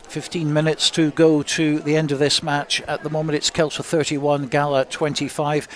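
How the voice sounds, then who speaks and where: A man's voice: a little high, little pitch movement, moderate pace. A man giving a live radio round-up of rugby scores as the update reporter.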